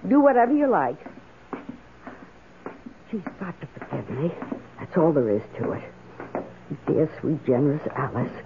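A woman's voice: deep voice, big pitch swings, a little slow, pauses often, background noise, exaggerated voice. An actress playing an eager, emotional woman houseguest in an old-time radio drama, with an earnest and dramatic delivery. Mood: anxious, remorseful. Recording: muffled lo-fi radio drama recording.

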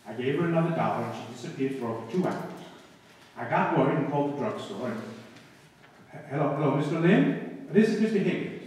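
A man's voice: mid-range voice, expressive, brisk, darkish tone, far from the mic, lightly acted. A man playing a pompous, exasperated father in old-time radio comedy, with formal wording. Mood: worried, wry. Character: exasperated, pompous father of a naughty little girl. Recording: echoey hall stage, captured from afar.

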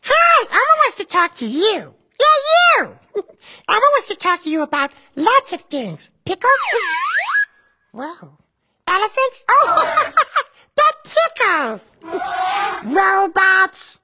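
A giggly little red monster toddler who speaks of himself in the third person, with a squeaky, very high voice. He talks slowly in bouncy sing-song swoops, in a bright, sharp tone. Faint music plays behind him. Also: wildly swooping pitch, very noisy, exaggerated voice. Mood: cheerful. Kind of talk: children's puppet show voice acting.

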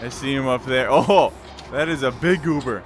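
A man giving excited live gameplay commentary in short, exclamatory bursts, talking to himself as he plays. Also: medium pitch, moderate pace, slight background noise. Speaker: gamer playing and commenting. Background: game music.

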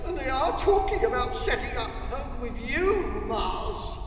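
Weepy paranoid planet in a radio play, really high and wailing, big echoey hall. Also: big pitch swings, measured pace, faint music behind. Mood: anxious grumbling. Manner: tearful wailing, indignant. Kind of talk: radio drama acting. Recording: very echoey hall, distant mic.

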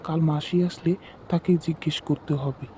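A man's voice: a little high, steady tone, moderate pace, dark tone, a little hiss or hum, close to an everyday voice. A man voicing an earnest, anxious husband in a horror cartoon. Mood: worried. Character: anxious, earnest husband moving into a new house.

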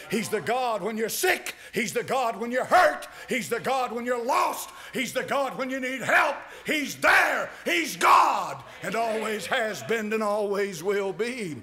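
An older man preaches from a church pulpit in an American accent. His voice sits very high and bright, and he swings from steady teaching into fervent shouts. He speaks into a pulpit mic. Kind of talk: sermon. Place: church sanctuary.

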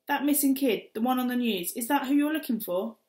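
A woman's voice: high voice, lively intonation, fairly quick, a little mellow, pitched above the performer's own voice. A woman voicing a shifty, wary adult male stranger in an audiobook, evasive and defensive. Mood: suspicious. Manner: evasive, defensive, streetwise slang. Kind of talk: audiobook character reading. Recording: roomy echo.

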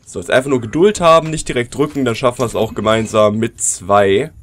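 German gamer with a cold, chatty. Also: male voice, mid-range voice, a little fast. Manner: chatty, addresses viewers directly. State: has a cold, sore throat. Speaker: let's play commentator. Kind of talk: casual gameplay commentary. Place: at home at his computer.

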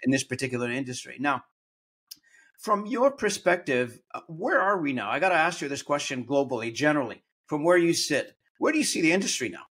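A man's voice: on the high side, sing-song, a little fast, a bit bright, breathy edge. A man hosting an industry podcast interview, talking in long rambling sentences and restarting his phrases. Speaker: podcast host. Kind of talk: conversational interview.

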